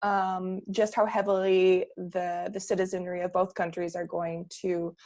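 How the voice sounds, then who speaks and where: A woman's voice: fairly low pitch, little pitch movement, unhurried, a little mellow. A woman from Canada speaking on a panel, earnest and opinionated, often restarting her sentences. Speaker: panelist, political campaigner. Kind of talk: casual discussion.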